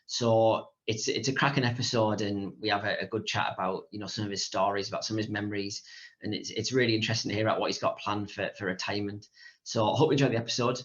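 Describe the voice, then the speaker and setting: A man hosting a podcast and interviewing a guest, brisk and often repeating words. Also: on the low side, steady tone, a bit bright, lo-fi sound. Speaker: podcast host. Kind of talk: casual interview chat.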